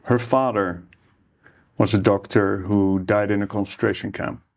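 A man in his forties with a low, gravelly voice tells his story at an easy, unhurried clip. He pauses often on small hesitations, sounding settled and candid in a seated interview with a table microphone. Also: steady tone. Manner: easygoing, hesitant with many uhs. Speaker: plastic surgeon guest. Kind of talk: relaxed interview conversation. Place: ornate room, seated interview. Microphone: studio microphone on table.

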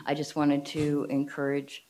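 A woman's voice: deep voice, completely flat delivery, a little slow, dark tone. A middle-aged woman speaking politely at a table microphone in a meeting room, hesitating with ums. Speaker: outgoing community association board member. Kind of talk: public comment speech.

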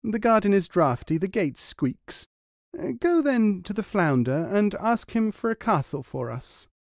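A man voicing a greedy, demanding fisherman's wife who is never content, in a high, insistent, scolding voice. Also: big pitch swings, a little slow, no echo, studio quality, heavily acted. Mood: eager.

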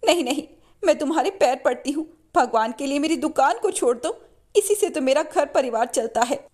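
High, plaintive wronged young wife voiced by a narrator. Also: female voice, animated, moderate pace, darkish tone. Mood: desperate pleading. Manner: plaintive, urgent.

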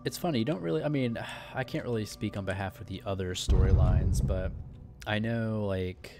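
A man's voice: lowish voice, normal speed, loud music behind the voice. A man giving casual, laid-back gameplay commentary, often muttering to himself. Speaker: gaming streamer, let's player. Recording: background noise, keyboard clatter.

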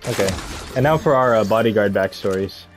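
A man streaming a shooter game, chatting casually with friends and joking around with excited callouts. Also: on the low side, brisk, soft background music. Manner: playful, jokey, excitable callouts. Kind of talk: casual gameplay chat with friends. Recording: gunfire sounds behind the voice.

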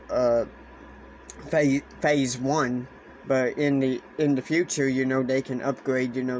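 Slow, halting man explaining a gadget. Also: medium pitch, rough recording. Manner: halting, repeats phrases. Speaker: hobbyist presenter. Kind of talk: casual explainer demonstration. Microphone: handheld camera or phone. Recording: clear steady background noise, handheld camera.

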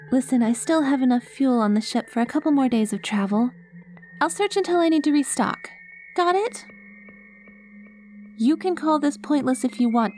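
A woman playing a cute, earnest, easily flustered young alien girl in a soft-spoken ASMR roleplay. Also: on the high side, lightly acted. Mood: firm, defiant. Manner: earnest, flustered, talks to herself. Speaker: audio roleplay performer.

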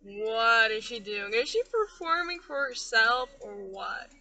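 A woman's voice: a little high, expressive, unhurried, pushed and bright, rough recording. A young woman vlogging while she drives, chatting casually to a dashboard camera inside her car. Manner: chatty, singing along to songs. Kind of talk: casual chat while driving. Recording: music over the voice inside a car. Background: music playing.

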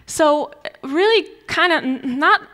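A woman's voice: sits high, expressive, takes it slowly, fairly bright tone. A young woman from Poland with a Polish accent, giving a conversational conference talk on stage, often restarting her sentences with fillers. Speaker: conference speaker. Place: large dark conference stage before an audience.

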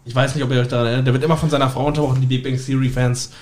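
A man's voice: mid-range voice, little pitch movement, rapid-fire, darkish tone, slight background noise. A man chatting casually and talkatively as a podcast guest, telling anecdotes about his work as a magician.

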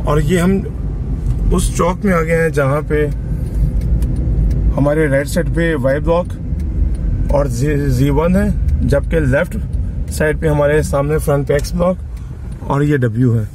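A man with a Pakistani Urdu accent giving an informal real estate site tour from inside a moving car, in a matter-of-fact way with pauses and fillers. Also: fairly high pitch. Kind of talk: casual property tour commentary. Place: in a car driving through open roads. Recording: road noise from a moving car. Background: car and road noise.

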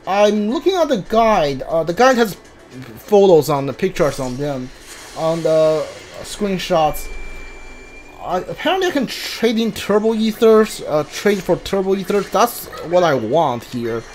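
Gamer slowly muttering thru menus, casual halting commentary, game music in back. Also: mid-range voice, very animated, darkish tone, rough recording. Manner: halting, thinking aloud, muttering lists. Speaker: streamer playing a video game. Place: voice-over on game footage with game music behind.

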